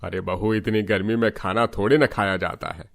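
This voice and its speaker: Older father-in-law, acted by a man, low voice, grumbling and dismissive, animated family tale. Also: moderate pace, creaky.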